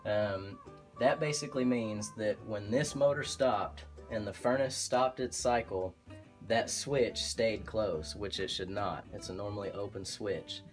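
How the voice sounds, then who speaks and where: Low-voiced Oklahoma furnace technician. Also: male voice, a little slow, faint music behind. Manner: relaxed and practical, many "um"s and restarts. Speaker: HVAC technician. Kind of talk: instructional how-to explanation. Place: indoor utility closet by a furnace.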